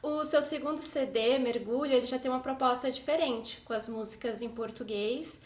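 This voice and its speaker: A Brazilian woman with a high-pitched voice hosts an informal interview. Her Brazilian speech is conversational, and the recording has a noticeable room echo. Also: moderate pace. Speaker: interview show co-host. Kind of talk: casual hosted interview.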